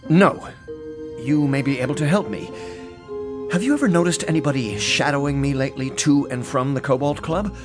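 A male actor as a frightened gentleman in a mystery audiobook, mid-pitched, agitated and halting. His nervous, jerky sentences come over prominent music. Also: natural voice. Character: frightened, agitated society clubman under threat. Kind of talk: full-cast audiobook acting.

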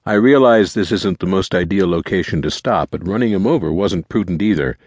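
A man's voice: sits low, fairly quick, a little mellow, breathy edge, no echo. A man voicing a commanding, wary group leader in a thriller story, firm and terse.

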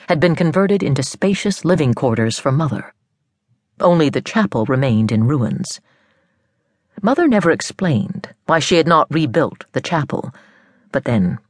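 A woman's voice: low voice, no room sound. Woman narrating fiction, dry close sound. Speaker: audiobook narrator.

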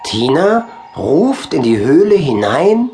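A man narrating a children's audiobook in an expressive storytelling style. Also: fairly high pitch, slow, a little hiss or hum.

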